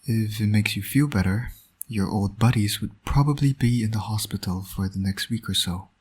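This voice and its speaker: A low, husky male voice for a roleplay audio drama , a man acting it out. He is a smug villain who flirts and needles with dry sarcasm, yet shows a fatherly soft side. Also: normal speed. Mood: taunting. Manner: smug, teasing, sardonic. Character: teasing, flirtatious male supervillain, doting secret father.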